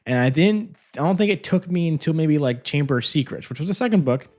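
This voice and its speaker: A man's voice: fairly high pitch, lively intonation, brisk, a little mellow. A man giving an enthusiastic, casual review as a vlog host, talking into a microphone in a home office. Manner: enthusiastic, gushing. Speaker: audiobook review video host. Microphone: separate microphone with phone camera. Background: music.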